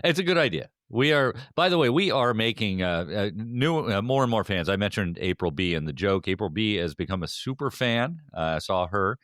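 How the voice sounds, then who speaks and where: A man's voice: lowish voice, expressive, fairly quick, hardly any pauses, a bit bright, dry recording, clean studio sound. A man co-hosting a podcast in casual banter, speaking hesitantly and often repeating his phrases. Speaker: podcast co-host.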